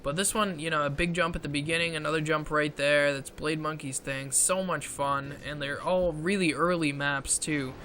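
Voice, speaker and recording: A man giving casual commentary over gameplay footage, halting with many fillers, clearing his throat often, his voice strained from talking so long. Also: on the high side, steady tone, sharp tone, a little hiss or hum. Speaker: gaming video creator.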